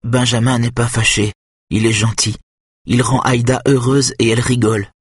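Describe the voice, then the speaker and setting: A man's voice: medium pitch, flat delivery, normal speed, breathy edge, no room sound, pitched above the performer's own voice, close to an everyday voice. A man voicing a big childlike young man, anxious and innocent, who talks in a simple, eager way close to tears. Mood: worried.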